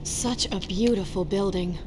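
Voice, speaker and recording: A woman's voice: medium pitch, fairly even intonation, moderate pace, close to an everyday voice, low-quality recording. An actress playing a young woman warrior in a video game cutscene, earnest, compassionate and challenging, with music behind. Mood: practical. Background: cinematic music.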